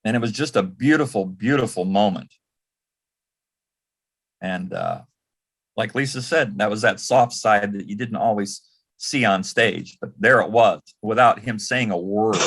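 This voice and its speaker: Older man reminiscing, halting and anecdotal. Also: on the low side, fairly bright tone, slightly rough. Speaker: panel guest, former celebrity assistant. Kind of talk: casual anecdotal storytelling on a panel.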